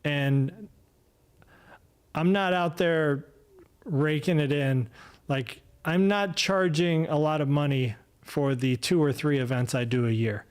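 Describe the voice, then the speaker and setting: A man's voice: fairly high pitch, slow pace, smooth. A man in his fifties talking casually on a solo livestream podcast, rambling and self-deprecating, with many fillers and restarts. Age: 50s. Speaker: podcast host. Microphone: desk mic, no headphones.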